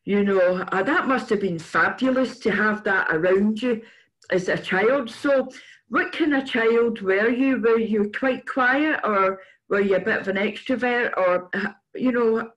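An older woman with a mid-range voice hosts a conversational interview over a video call. She speaks haltingly, repeating small words as she shapes her questions. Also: medium pitch, rough recording. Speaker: interview host. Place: indoors in a room at home, on a video call. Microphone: computer microphone on a video call.

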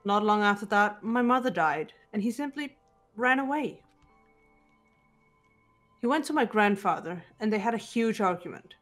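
A woman voicing a composed, guarded teenage girl detective in a video game, speaking in a measured, serious way, with bitterness held in check. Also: mid-range voice, lively intonation, fairly bright tone, lightly acted. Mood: controlled, reflective. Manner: measured, serious, deliberate delivery. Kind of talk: amateur video game voice acting. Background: background music.

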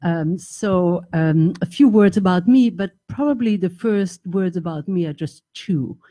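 An older woman from Belgium, a talk show guest speaking in an earnest, measured way into a desk microphone. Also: lowish voice, mellow. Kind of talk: interview. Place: home office with bookshelves. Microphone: desk mic on boom arm.

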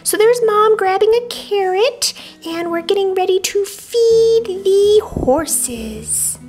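A woman voicing a cute, excitable little lamb girl plush toy who narrates a travel vlog in a chirpy, childlike, giggly way. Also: very high voice, slow pace, faint music behind, heavily acted. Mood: sweet, excited. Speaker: vlog host.

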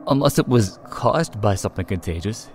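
An actor playing a nerdy, earnest teenage new kid who answers hesitantly in an audio drama. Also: male voice, fairly low pitch, animated, brisk, a little mellow, a little hiss or hum, close to an everyday voice.

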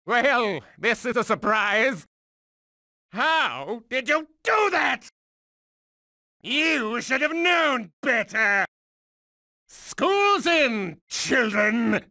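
An actor voicing a megalomaniac mutant genius supervillain in a video game, condescending, mocking and theatrical like a cruel schoolmaster. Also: male voice, very high voice, big pitch swings, very slow, pauses often, pushed and bright, no echo, studio quality, exaggerated voice. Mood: mocking, indignant. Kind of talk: theatrical cartoonish game voice acting.

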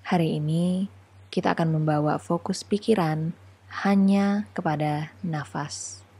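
A woman guides a meditation as an instructor. Her voice is low and dark, with a soft husky edge. She speaks very slowly and soothingly, giving each short phrase room before the next. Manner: soothing, unhurried, gently paced phrases with pauses.

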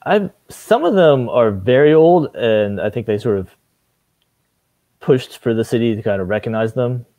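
A young man from Maine, a city council candidate, talking on a video call from a room at home, relaxed and hesitant, with many fillers. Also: mid-range voice, normal speed, no echo, highs cut off. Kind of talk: casual video call interview. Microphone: video call webcam.

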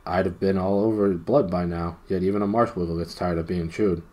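A man's voice: deep voice, steady tone, brisk, darkish tone, close to an everyday voice. A man voicing a dour, stoic marsh creature in a storybook, dry, grumbling and matter-of-fact. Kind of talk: character voice in storybook reading.

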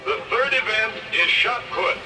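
A man's voice: high-pitched, normal speed, close to an everyday voice. A man playing a formal athletics announcer in a cartoon read-along story. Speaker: event announcer. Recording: distant, echoey old record playback with music.

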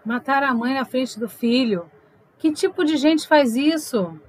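A woman's voice: high voice, animated, unhurried, poor audio. A woman playing a teasing, inquisitive, unsentimental girlfriend in an audio drama, probing and playful. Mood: concerned, probing.

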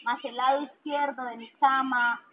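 Female poetry reader, high-pitched, heard through a narrow, tinny megaphone. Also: fairly even intonation, moderate pace. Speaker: poet reading at a public poetry reading. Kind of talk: poetry reading. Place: outdoor public park. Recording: tinny phone-like megaphone sound.

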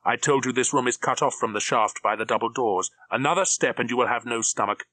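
A man's voice: medium pitch, brisk, sharp tone, no room sound. A man voicing a cold, menacing middle-aged villain with a clipped, commanding manner. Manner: clipped, commanding, coldly mocking.

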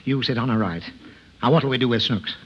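A man's voice: mid-range voice, a little fast, close to an everyday voice. An actor playing an exasperated, fussy, scolding father in a 1950s radio comedy. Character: exasperated, scolding father of a naughty little girl. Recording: dull, noisy old radio recording.

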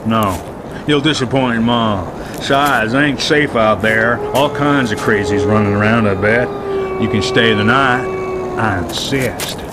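A menacing backwoods gunman, acted by a man in a radio play. His voice is low, with a folksy, taunting drawl, and there is loud noise all around him. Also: unhurried, lightly acted. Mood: folksy, insistent. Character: menacing rural gunman, chuckling, living with his Ma. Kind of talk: radio drama acting. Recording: very noisy radio drama soundscape.

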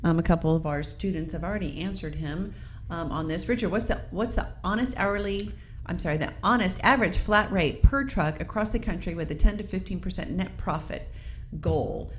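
A woman co-host with a somewhat low voice reads viewer comments aloud on a live business show. She chimes in with quick affirmations between the questions. A faint hiss sits under her voice. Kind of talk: live-stream co-hosting.

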